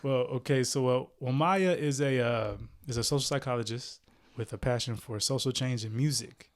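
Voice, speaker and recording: A friendly podcast host, a man in his thirties, with a voice of everyday medium pitch. He introduces his guest casually and fills his pauses with small fillers. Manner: friendly, fills gaps with um and okay. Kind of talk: podcast interview.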